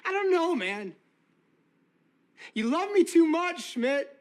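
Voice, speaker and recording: A man's voice: very high-pitched, big pitch swings, slow pace, heavily acted. A man acting a sentimental, defensive guy in a sitcom, rambling and repeating himself casually. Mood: worked up. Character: sentimental, defensive, scruffy-mannered man, emotional with his friend. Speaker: actor in a TV sitcom.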